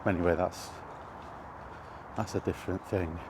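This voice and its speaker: A British man giving rambling, casual commentary while walking outdoors, halting and thinking aloud as he goes. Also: deep voice, steady tone. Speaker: video host. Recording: outdoor ambient noise throughout.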